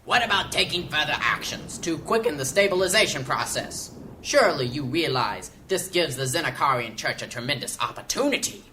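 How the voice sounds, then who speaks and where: A man's voice: on the high side, animated, a little fast, bright, forceful tone, a little hiss or hum. A man playing a bitter, doubting mage councillor in a fantasy audio drama, speaking in an emphatic, theatrical way. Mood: insistent.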